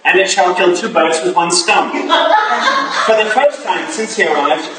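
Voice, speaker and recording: A man playing a wry, courteous Victorian gentleman and exasperated brother in a staged drama reading. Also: sits high, very animated, measured pace. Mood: pleased. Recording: echoey hall from far off, clearly noisy.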